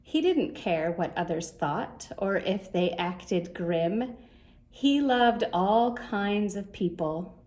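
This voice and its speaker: A woman reading a rhyming children's story aloud in a measured, expressive way. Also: mid-range voice. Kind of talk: scripted storybook narration in rhyme.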